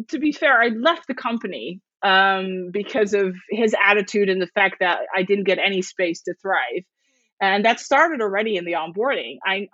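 A woman's voice: mid-range voice, a bit bright, no echo. Dutch-native woman, dry dull sound. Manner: thoughtful, with many restarts and fillers. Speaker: podcast guest. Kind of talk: casual interview chat.

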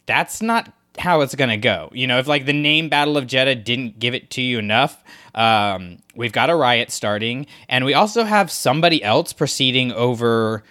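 A man's voice: mid-range voice, lively intonation, normal speed, sharp tone. A man co-hosting a podcast, chatting casually about a story with many fillers and restarts. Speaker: podcast co-host. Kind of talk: casual discussion of a book.

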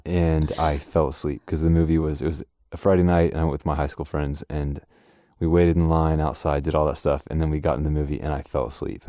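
A man who is a music producer, a podcast guest talking casually in a relaxed, self-deprecating way. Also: a bass voice, a little fast, no room sound, clean studio sound. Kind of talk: casual chat.

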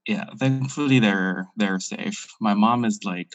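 A man's voice: on the low side, a little slow, no room sound. A man from the Philippines, a podcast guest, talking in a witty, playful way and laughing easily. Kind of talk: casual podcast chat.

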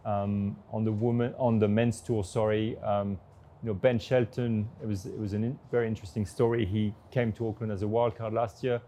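A man's voice: on the low side, level tone, a little fast, warm tone, a little hiss or hum. A man who runs a tennis tournament, answering interview questions hesitantly with lots of fillers. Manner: hesitant, many fillers and restarts.

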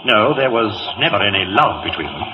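An anxious, distraught gentleman of middle age speaks hesitantly and theatrically. His pitch swings about, on a dull and noisy vintage radio recording. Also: sing-song, brisk. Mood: troubled. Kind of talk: old-time radio drama acting.

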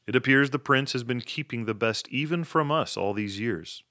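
A man's voice: medium pitch, moderate pace, bright, forceful tone, dry recording, clean studio sound, pitched above the performer's own voice, lightly acted. A man voicing a sheepish male servant friend, wondering at his first meal.

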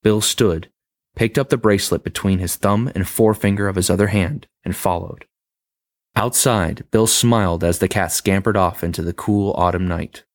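A man with a very low voice narrates a horror tale, taking his time over each sentence and leaning into the suspense. The sound is close and dry, with no room around it. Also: no echo. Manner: measured, dramatic pacing. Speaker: story reader. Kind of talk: scripted horror story narration.

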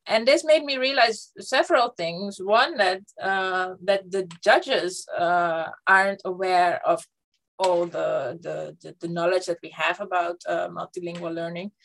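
Woman, Dutch native, panel speaker; halting, filler-heavy delivery. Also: medium pitch, a bit bright. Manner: hesitant, many fillers and restarts. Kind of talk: panel discussion talk.

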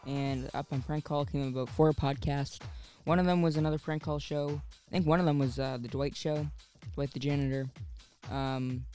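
A man hosting a podcast in a casual, unscripted monologue, rambling a little and halting as he goes. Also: fairly high pitch, steady tone, warm tone, faint music behind. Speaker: podcast host.

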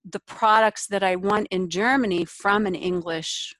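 A woman's voice: mid-range voice, steady tone, a little slow, a bit bright, no echo. A woman hosting a business interview, thinking aloud and giving advice through hypothetical examples. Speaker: podcast host.

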